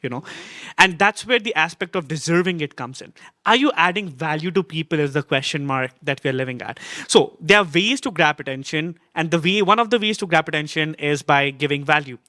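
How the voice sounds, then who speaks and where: A man speaking on a live panel in a playful, jokey and energetic way. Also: fairly high pitch, brisk, no echo, clean studio sound. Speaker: panelist, video marketer. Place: convention stage.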